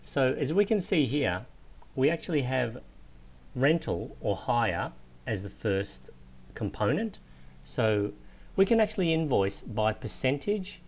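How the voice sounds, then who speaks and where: Low-voiced man narrating a software tutorial. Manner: explanatory, informal, step-by-step. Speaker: software instructor. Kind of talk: step-by-step screencast tutorial narration.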